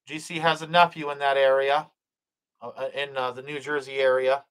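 A man's voice: mid-range voice, flat delivery, normal speed, a bit bright, clean tone, low-quality recording. A middle-aged man hosting a live stream, reading the chat aloud, blessing viewers and praying, with plenty of fillers and restarts. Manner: hesitant, many fillers and restarts.

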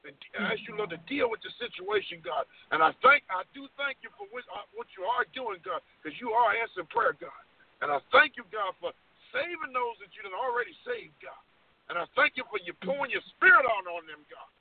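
Man caller, fervent prayer. High, lively voice, gravelly and husky, heard far from the phone. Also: a little fast. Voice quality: fervent, repeats "God" and "Lord" after every phrase. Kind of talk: spontaneous spoken prayer. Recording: tinny phone line, distant from the mic.

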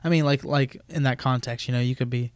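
A man's voice: medium pitch, fairly even intonation, clean tone, dry recording. Casual man who keeps saying dude. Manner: casual, says "dude" and "you know" often, rapid bursts. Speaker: podcast co-host.

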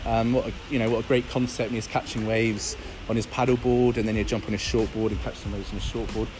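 A man in his thirties, a guest in an interview, talking casually and rambling with many fillers, his voice hoarse from a cold. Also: lowish voice, level tone, fast talker, rough recording. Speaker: founder of a paddleboard company, guest. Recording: music playing behind.

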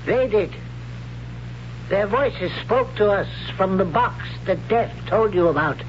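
A stern, zealous old high priest. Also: male voice, lively intonation, slow pace. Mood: solemn. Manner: solemn, commanding, preaching. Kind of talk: 1970s radio drama acting. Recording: dull lo-fi old radio broadcast with hiss.

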